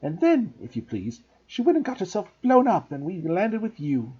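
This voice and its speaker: A man's voice: sits high, wildly swooping pitch, moderate pace, warm tone, slightly rough, pitched above the performer's own voice, exaggerated voice. A man voicing a bitter, spiteful aunt who shrieks and rattles on. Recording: dull lo-fi recording.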